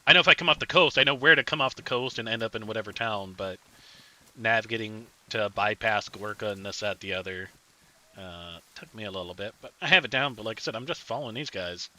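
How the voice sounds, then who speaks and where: A man talks through his game session in loose, rambling chat with his squad. His voice sits fairly low but has a hard, very bright edge. He sounds chatty and unhurried. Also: rough recording. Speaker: streamer. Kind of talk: casual gaming chat with teammates.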